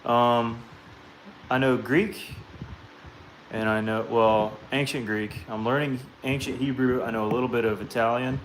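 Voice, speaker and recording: Laid-back male streamer, mid-pitched, talks through his craft, sharp clicking noise behind him. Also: unhurried, reverberant, rough recording. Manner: relaxed, reads viewer comments aloud. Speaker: livestream host. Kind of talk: casual live-stream chat while flintknapping.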